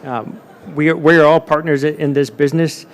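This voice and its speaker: Moderator, male, slightly high voice, emphatic and repetitive delivery for a formal panel. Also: level tone, a little fast. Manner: emphatic, repeats words while building a point.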